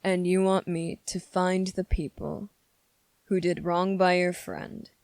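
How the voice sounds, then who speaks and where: Someone acting as a slow creaky demon, condescending n menacing, asmr roleplay, super dry close sound. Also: mid-range voice, little pitch movement, darkish tone, no room sound. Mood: cold, probing. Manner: slow, deliberate, condescending, pausing often. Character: sardonic, menacing demon weighing a summoner's revenge plea. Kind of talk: dramatic ASMR roleplay monologue.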